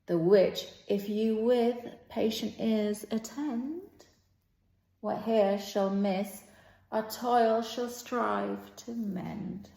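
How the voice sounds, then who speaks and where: A woman doing an impromptu amateur dramatic reading of a play, halting at times and shifting between hushed and projected delivery. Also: medium pitch, gravelly. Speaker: amateur reader playing all characters. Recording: roomy, echoing sound.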